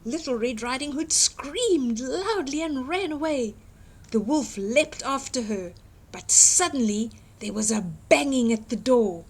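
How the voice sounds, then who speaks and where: Female children's storyteller: very lively, unhurried, slightly husky, dramatic read-aloud with asides to the listener. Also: sits high. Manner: dramatic, asks the listener questions, exclaims. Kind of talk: expressive fairytale read-aloud for young children.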